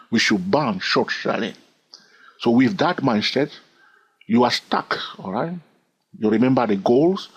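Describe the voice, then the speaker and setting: A man's voice: mid-range voice, husky. A man teaching a swing trading lesson over a screen recording, repeating his points and often checking in with "all right?". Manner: animated, repetitive, keeps asking "all right?". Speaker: trading instructor.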